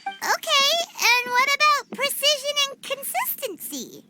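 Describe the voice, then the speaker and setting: A tiny childlike fairy companion from a video game. The voice is extremely high and squeaky, swinging around excitably with a cheeky chirp. Music runs strongly behind it. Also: female voice, a little slow. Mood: teasing. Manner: squeaky, chirpy, talks about herself in third person. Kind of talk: game cutscene voice acting.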